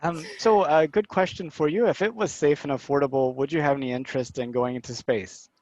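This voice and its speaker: A man's voice: fairly high pitch, steady tone, fairly quick, darkish tone, no room sound. Man interviewing, dry dull audio. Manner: friendly, joking, hesitant starts. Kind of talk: casual video-call interview.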